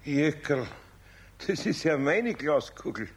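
A gravelly, husky older Bavarian man, a good-natured craftsman in a children's radio play. He talks colloquially, patient yet exasperated, with a lively rise and fall. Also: on the high side, slow, full character voice. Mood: kindly, encouraging. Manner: colloquial, coaxing, grandfatherly.

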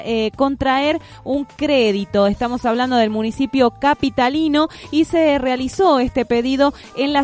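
An Argentine woman with a slightly high voice presents radio news and conducts an interview. She speaks with an Argentine accent and phrases her questions hesitantly.

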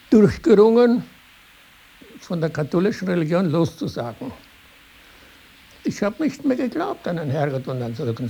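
An older man with a Tyrolean Austrian accent, recalling his life in spontaneous, halting, rambling talk. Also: high voice, vocal fry, dark tone, breathy edge. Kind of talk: spontaneous oral reminiscence. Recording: hissy archival interview tape.

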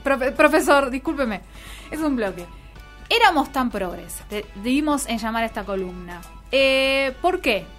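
High-voiced Argentine woman hosting over music. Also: expressive, unhurried, a bit bright. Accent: Argentine Rioplatense. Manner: playful, ironic. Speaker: radio show host. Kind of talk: casual live radio banter.

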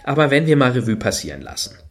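A man's voice: mid-range voice, sing-song, quick pace. An actor playing a carping, indignant man in a satirical radio play, emphatic and sardonic. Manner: emphatic, sardonic, long fault-finding sentences. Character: carping, indignant male critic among an artist's entourage.

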